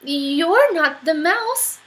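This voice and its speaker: A woman's voice: very high voice, sing-song, extremely slow, fairly bright tone, voice pushed up, heavily acted. A woman voicing a brave, curious young female monster child in a storybook, exclaiming and wondering.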